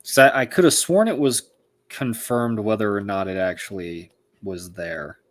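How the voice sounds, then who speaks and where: A man with a fairly low voice speaks as a co-host in a relaxed discussion. He talks informally and haltingly, as if working out his thoughts while he goes. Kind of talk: casual discussion.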